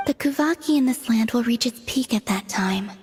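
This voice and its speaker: A woman playing an otherworldly, serene young moon maiden in a video game, speaking in a soft, breathy, measured deadpan. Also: a little high, long reverb tail, natural voice. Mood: wistful, serene. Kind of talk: dramatic video game voice acting. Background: music.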